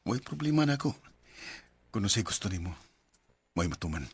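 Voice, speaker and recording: A man's voice: fairly low pitch, very animated, fairly quick, husky, no echo, exaggerated voice. An actor with a Cebuano accent playing a smitten, devoted young man in a radio drama. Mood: tender.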